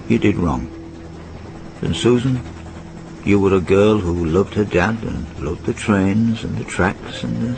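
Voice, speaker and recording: Deep-voiced, weary train driver. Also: male voice, background noise. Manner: reflective, addressing absent friends, measured phrasing. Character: weary veteran railwayman train driver, fatalistic, fond, reflective. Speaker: actor in a radio play. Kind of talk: radio drama monologue.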